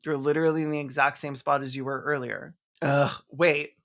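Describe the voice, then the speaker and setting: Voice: mid-range voice, level tone, brisk, a bit bright. A video essayist narrating a movie recap in a sarcastic, mocking, scripted style with some swearing. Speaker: video essayist and film reviewer.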